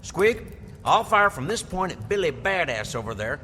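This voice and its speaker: A man's voice: fairly high pitch, sing-song, normal speed, pushed and bright, slight background noise. A man playing a cocky, wisecracking young gunslinger with brash slang in an audio drama. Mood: focused. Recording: long reverb, music behind.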